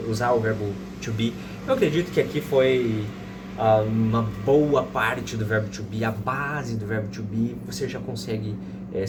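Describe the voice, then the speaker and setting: A man in his thirties with a Brazilian accent, teaching informally and didactically, repeating examples slowly and checking in with listeners. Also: medium pitch. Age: 30s. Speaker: English teacher. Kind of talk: informal explanatory language lesson with slow example sentences. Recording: distant mic, loud background noise.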